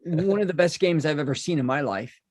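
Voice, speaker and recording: A man's voice: on the high side, a little fast, a little mellow. A man from Chicago hosting a podcast, chatting casually and rambling with many asides and restarts. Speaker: podcast host. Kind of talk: casual interview chat.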